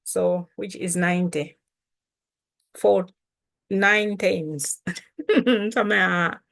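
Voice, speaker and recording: A woman's voice: medium pitch, creaky. A woman from Malawi whose first language is Chitumbuka, patiently teaching it in a casual lesson to camera. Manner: unhurried, patient, repeats and spells out words. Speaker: language teacher and channel host.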